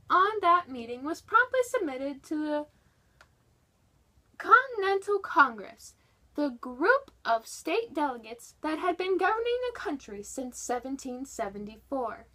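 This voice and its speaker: A woman's voice: sits high, a bit bright. A woman reading a history book aloud in a dramatic, scripted narration style.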